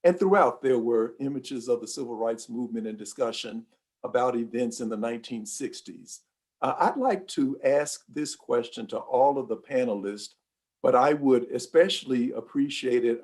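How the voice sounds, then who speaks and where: Polite moderator guy, husky voice, asks careful questions, some uhs. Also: medium pitch, a little mellow. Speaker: panel moderator. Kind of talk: moderating a panel discussion.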